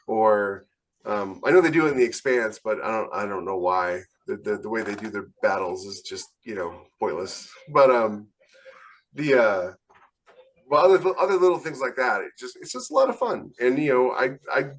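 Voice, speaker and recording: A man's voice: on the low side, animated, normal speed, rough recording. A man co-hosting a casual podcast discussion, explaining things with enthusiasm as a writer. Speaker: podcast co-host and science fiction writer.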